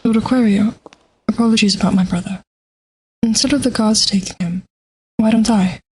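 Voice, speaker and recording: Lady as composed bossy princess, medium pitch, audio drama, faint noise. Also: normal speed, a little mellow, natural voice, rough recording. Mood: polite, placating. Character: poised, bossy princess, an older sister.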